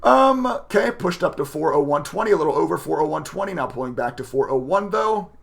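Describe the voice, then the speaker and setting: Raspy, chatty male livestreamer. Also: fairly high pitch, lively intonation, brisk. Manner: chatty, emotive, laughs often. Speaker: livestreaming day trader and content creator. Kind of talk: casual live stream commentary to viewers.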